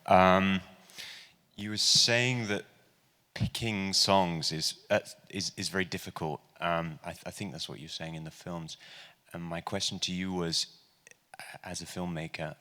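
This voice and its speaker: A man in the audience asks a slow, hesitant question in a loud voice. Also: low-pitched, steady tone. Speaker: audience member asking a question. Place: large lecture hall. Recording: long reverb of a large hall.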